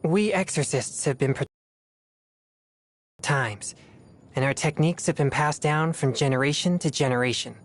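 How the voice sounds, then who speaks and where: A woman playing a solemn, disciplined teenage boy exorcist in a video game, with formal, measured speech. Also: low voice, soft background music, natural voice. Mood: solemn, composed. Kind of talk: scripted video game character voice lines.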